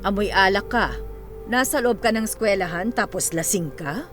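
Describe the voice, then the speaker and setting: A woman's voice: mid-range voice, lively intonation, normal speed, a bit bright, soft background music, natural voice. A woman acting a stern, authoritative school principal in a Filipino radio drama, firm and formal, switching between Tagalog and English.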